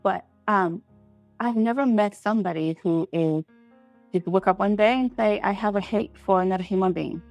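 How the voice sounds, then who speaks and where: A woman's voice: mid-range voice, moderate pace, a little mellow, no room sound, faint music behind. A woman from Liberia answering as an interview guest, reflective and hesitant, circling gradually toward her point.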